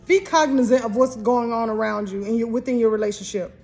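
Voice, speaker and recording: A woman's voice: fairly high pitch, fairly quick, a little mellow, soft background music. An American woman in her fifties co-hosting a casual relationship advice talk, speaking earnestly and directly to the viewer. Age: 50s. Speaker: co-host giving marriage advice.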